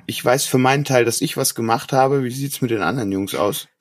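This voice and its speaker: A man's voice: mid-range voice, a little fast, no room sound, clean studio sound. A man hosting a podcast in a casual, improvised way. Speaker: podcast host.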